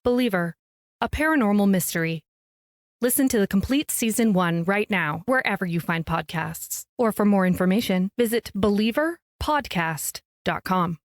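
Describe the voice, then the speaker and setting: A woman's voice: medium pitch, animated, normal speed, no room sound, natural voice. An actress playing a troubled woman in a paranormal audio drama trailer. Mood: announcing. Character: hesitant, troubled woman searching for missing ex-girlfriend.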